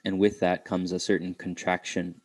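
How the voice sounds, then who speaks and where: A man leading an unscripted guided meditation, speaking reflectively in halting phrases with pauses. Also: deep voice, little pitch movement, a little mellow, no echo.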